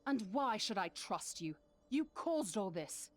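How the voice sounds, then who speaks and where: Determined, wary female monster hunter, expressive. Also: medium pitch, unhurried, faint music behind. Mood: wary, suspicious. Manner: questioning, assertive. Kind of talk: dramatic video game voice acting.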